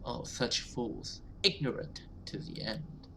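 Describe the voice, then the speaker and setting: A man's voice: mid-range voice, lively intonation, slow, gravelly, fairly bright tone, slight background noise, exaggerated voice. A man voicing a sinister, contemptuous villain woman in a horror visual novel. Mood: mocking.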